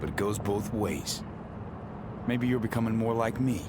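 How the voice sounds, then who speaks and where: A man's voice: fairly low pitch, moderate pace, close to an everyday voice. An actor playing a laconic male mercenary in a video game, dry, clipped and wry. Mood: dry, guarded. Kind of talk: video game dialogue acting. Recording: vehicle and outdoor noise behind.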